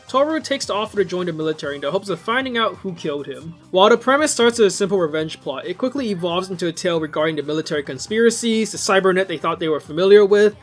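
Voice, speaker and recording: A man narrates a scripted video review at a quick clip. His voice sits high and moves around animatedly in pitch. He talks informally, like a fan presenting a favorite. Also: a bit bright. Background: game soundtrack music.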